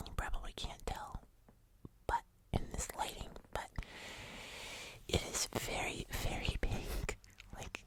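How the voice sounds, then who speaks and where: An ASMR host speaks entirely in a soft, breathy whisper. The delivery is casual and chatty, like an informal review. There is a wry, understated touch to the phrasing. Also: rough recording.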